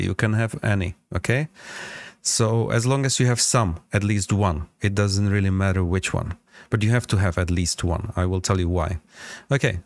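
A man hosting an informal step-by-step software tutorial, instructive and often checking in with the listener. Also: lowish voice, smooth, dry recording. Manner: instructive, checks in with frequent "okay?". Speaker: tutorial host.

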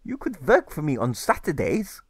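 A man's voice: fairly high pitch, huge pitch swings, moderate pace, husky, no room sound, studio quality, pitched above the performer's own voice, heavily acted. A man voicing a kindly, teasing German mentor with a genial, coaxing manner, in a storybook reading.

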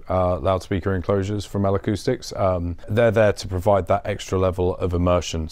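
A man's voice: sits low, a little mellow, no room sound. A man in his thirties giving an informal, conversational technical explanation as a showroom guide. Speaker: showroom guide, company representative. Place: indoor audio showroom and studio room.

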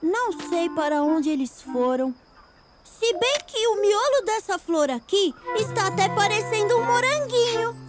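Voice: very high-pitched, lively intonation, unhurried. An actor playing an enthusiastic small young owl in a children's cartoon, childlike and exclamatory, with a Brazilian accent. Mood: thoughtful. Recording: music behind the voice.

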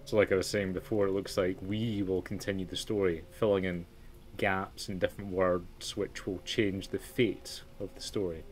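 A man's voice: low voice, flat delivery, normal speed, slight background noise. A man giving let's play commentary as he hosts a story game. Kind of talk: gameplay commentary mixed with reading aloud.

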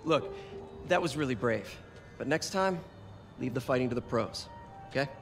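A man's voice: mid-range voice, lively intonation, normal speed, creaky, soft background music. A man playing a sincere young superhero in a video game, talking in a casual, encouraging way. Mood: friendly, advising. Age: young adult. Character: earnest, friendly young male superhero and lab assistant. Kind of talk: cinematic video game acting.

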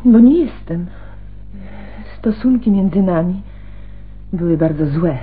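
A woman's voice: fairly low pitch, lively intonation, takes it slowly. An actress playing a cool, unhappily married widow and mother in a radio crime drama. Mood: cool, frank. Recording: muffled, band-limited radio recording.

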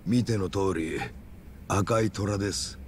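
A man's voice: low-pitched, slow, a little mellow, a little hiss or hum. A man playing a calculating, menacing yakuza in a video game, with a Kansai accent and polite speech that carries blunt threats. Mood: challenging. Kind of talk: dramatic video game cutscene acting.

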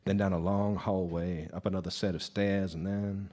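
An actor playing a world-weary, haunted blues musician in a radio drama, halting and reluctant as he tells his story. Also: low voice, level tone, warm tone. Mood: haunted, grave. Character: brooding, haunted male blues musician drinking in a bar. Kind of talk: live radio drama acting. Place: live stage performance of a radio drama.

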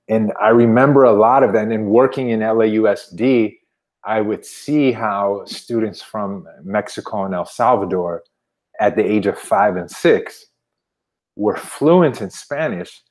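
Low-voiced man in casual conversation. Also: measured pace, a little mellow. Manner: informal, anecdotal, frequent "you know". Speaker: podcast guest. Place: indoor room at home, video call. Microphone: wired earbuds on a video call.

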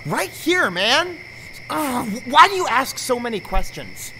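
A defiant teenage runaway boy acted by a man with an American accent, in a radio drama. The voice is high, sharp and swings widely, snappish and guarded, on a noisy home recording. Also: big pitch swings, measured pace, heavily acted. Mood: irritated, defiant. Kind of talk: amateur radio drama acting.

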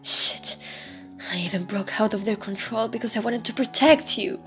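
A woman's voice: on the high side, normal speed, fairly bright tone. A woman voicing a troubled, withdrawn human teenager in a dramatic comic dub, speaking in an earnest, pleading manner. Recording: background music under the voice. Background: music.

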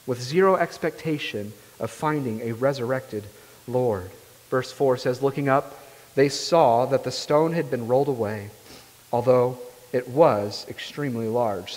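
A man's voice: a little high, darkish tone. A young man preaching a sermon as pastor, earnest and emphatic, often asking his listeners "right?". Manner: earnest, rising emphatically on key phrases, often asks "right?". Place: church sanctuary, large room. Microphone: far camera, speaking at a pulpit. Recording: long reverb of a church hall.

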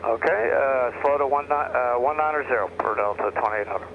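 A man's voice: medium pitch, brisk. A man piloting an aircraft, giving clipped readbacks over aviation radio. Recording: muffled noisy aviation radio.

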